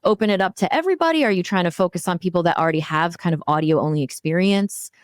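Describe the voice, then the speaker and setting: Woman, podcast host, lowish pitch, informal interview style, thinks aloud, very dry sound. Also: expressive, quick pace, no room sound. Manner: informal, thinking aloud while framing questions. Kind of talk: conversational interview.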